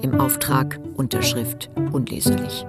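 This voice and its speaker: An actress playing a dismissive, formally polite European official, reading letters aloud in a bureaucratic manner for a German radio play. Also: female voice, a bass voice, flat delivery, measured pace. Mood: coolly polite. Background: prominent music.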